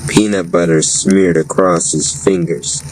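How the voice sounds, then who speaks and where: A man reading a scripted story and promo lines as a narrator, in a measured read-aloud delivery. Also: sits low, fairly even intonation. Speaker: narrator of a web radio story. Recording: clearly noisy, hissy background.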